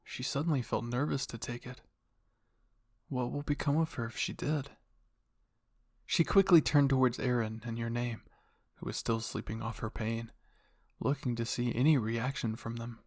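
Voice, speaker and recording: Guy narrating dramatic fanfic, medium pitched voice, super dry close sound. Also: steady tone, normal speed, a little mellow, no room sound. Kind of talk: scripted dramatic fan fiction narration.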